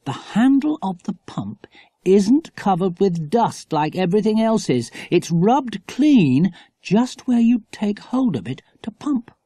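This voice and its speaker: A man voicing a brisk, inquisitive English schoolboy leader in a storybook, reasoning aloud with emphasis. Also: sits high, sing-song, mellow, slightly rough, no echo, voice pushed up, full character voice. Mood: puzzled.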